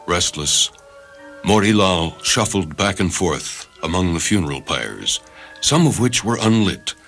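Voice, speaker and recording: Low-voiced man narrating a story. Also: fairly bright tone. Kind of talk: scripted dramatic story narration. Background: music.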